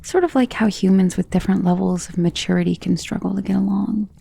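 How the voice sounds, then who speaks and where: A woman playing a centuries-old, tender and protective vampire in an intimate ASMR romance roleplay, speaking softly and earnestly, often in a whisper. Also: mid-range voice, fast. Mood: wistful, hesitant. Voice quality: soft, breathy, often dropping to a whisper.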